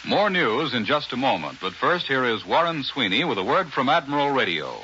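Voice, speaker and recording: Bright-voiced 1940s American radio anchor man. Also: mid-range voice, very animated, a little fast. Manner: crisp, formal announcing. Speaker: news anchor. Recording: dull, faintly noisy 1940s radio recording.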